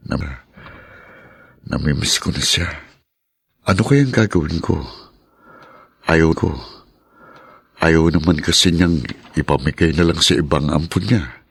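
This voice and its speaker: A man's voice: very deep voice, a little slow, vocal fry, pauses often, fairly bright tone, husky, no echo, full character voice. An actor playing a lovesick young man who muses aloud to himself in a radio drama. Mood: wistful. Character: lovesick young man missing his girl.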